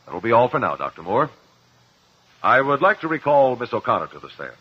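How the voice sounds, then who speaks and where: A man playing a sharp, probing courtroom investigator in 1950s radio drama, formal and insistent. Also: mid-range voice, sing-song, brisk, husky. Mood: firm. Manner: insistent, formal cross-examining. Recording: muffled old radio recording.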